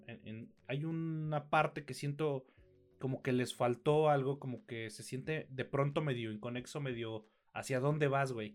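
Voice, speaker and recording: A man with a Mexican accent and a mid-pitched voice talks through a film review as a podcast co-host. His delivery is conversational and informal, with slang and a habit of working out his thoughts aloud.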